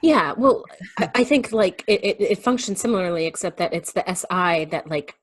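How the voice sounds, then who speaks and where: A woman guest explaining herself in casual conversation, thoughtful and halting, sometimes voicing her thoughts as quotes. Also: mid-range voice, expressive. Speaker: guest on a remote call.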